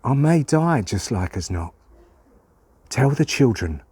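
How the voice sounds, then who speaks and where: A man's voice: mid-range voice, big pitch swings, takes it slowly, a little mellow, breathy edge, no room sound, pitched above the performer's own voice, exaggerated voice. An actor playing a teasing but loving rural railroad father with a folksy dialect. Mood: solemn.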